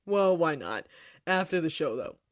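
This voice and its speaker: A woman's voice: deep voice, very animated, a little slow, very noisy. A woman voicing a sheepish, boastful devil king and father with flustered, defensive bravado. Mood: cocky.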